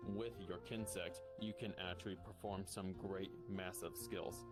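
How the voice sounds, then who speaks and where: Low-pitched man explaining, music behind. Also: fairly even intonation, measured pace. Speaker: roleplayer as hunter guild guide. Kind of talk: improvised in-character explanation in VR roleplay.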